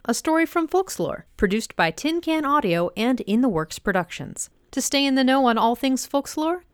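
A woman's voice: a little high, smooth, no room sound, clean studio sound. A woman hosting a podcast, reading scripted links between shows in an upbeat manner. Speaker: podcast host.

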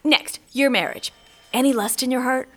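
A woman playing a cynical campaign manager in an audio drama, brisk and bossy. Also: sits high, fairly bright tone, slight background noise.

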